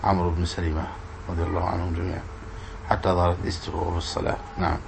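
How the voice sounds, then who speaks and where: A man's voice: low voice, flat delivery, racing. A man giving an informal religious lesson as an Islamic scholar, explaining and repeating points in a didactic way. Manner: didactic, repeats words and questions. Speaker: Islamic scholar teaching hadith. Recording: clearly noisy room recording.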